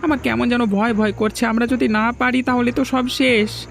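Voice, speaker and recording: A man's voice: very high voice, level tone, moderate pace, gravelly, a bit bright, faint music behind, exaggerated voice. A man playing a timid, earnest young villager in a fairy-tale cartoon, with an anxious, questioning manner. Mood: worried. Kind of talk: dramatic dubbed cartoon dialogue.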